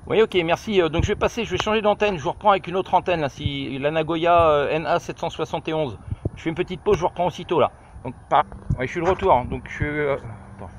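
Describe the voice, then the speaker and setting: A man's voice: on the high side, fast. A middle-aged man making informal radio calls during a field test, repeating himself and hesitating as he goes. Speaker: amateur radio operator testing a handset. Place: outdoors on a high viewpoint over countryside. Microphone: camera held just behind his shoulder. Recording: noisy outdoor phone camera, clicks.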